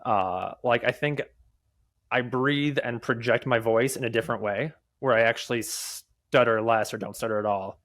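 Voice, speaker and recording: A young man who stutters, answering interview questions candidly as a podcast guest, with halting restarts, repeated words and many fillers. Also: medium pitch, fairly bright tone. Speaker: podcast guest, mobile developer and conference speaker.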